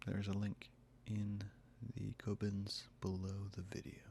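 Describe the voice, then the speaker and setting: A man with a Northern English accent, hoarse and croaky today, rambling through a casual solo podcast in a self-deprecating way. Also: fairly low pitch, monotone, a little slow, dark tone. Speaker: podcast host and artist. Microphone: desk microphone close to face.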